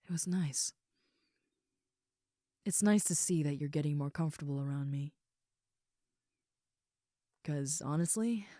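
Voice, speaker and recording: Woman doing a sweet caring ogre girl roommate, real mellow low voice, rambly n reassuring, intimate roleplay. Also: no echo, studio quality. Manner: soft, sincere, rambling, reassuring. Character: caring, affectionate young female ogre roommate. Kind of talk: intimate comfort roleplay audio.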